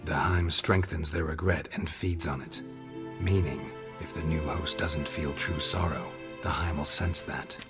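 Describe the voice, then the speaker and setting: An actor playing a grizzled monster hunter who talks in terse, clipped, matter-of-fact sentences. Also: male voice, very deep voice, steady tone, a little slow, over loud music. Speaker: game protagonist.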